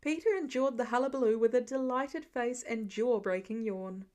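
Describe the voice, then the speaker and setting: Female podfic narrator, higher-pitched voice, scripted story reading. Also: moderate pace, a little mellow. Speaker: podfic reader.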